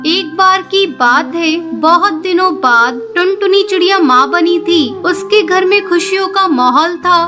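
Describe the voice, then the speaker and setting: A woman's voice: very high-pitched, measured pace, hardly any pauses, music in the background. A woman narrator reading a scripted children's cartoon story.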